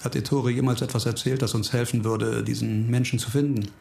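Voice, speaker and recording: An actor playing a weary, brooding police investigator in a German radio crime drama, speaking in a halting, ruminative way. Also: male voice, medium pitch, fairly even intonation, creaky, darkish tone, breathy edge. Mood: probing.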